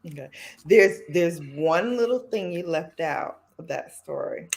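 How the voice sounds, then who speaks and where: Lively low-pitched woman host. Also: vocal fry. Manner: laughs often, quick to jump in. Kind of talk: casual chat.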